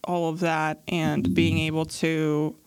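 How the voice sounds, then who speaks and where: A woman's voice: low-pitched, level tone, takes it slowly, a little mellow, smooth, no room sound. A young woman hosting a podcast, asking questions in a friendly, rambling way with lots of fillers. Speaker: podcast host. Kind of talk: casual interview.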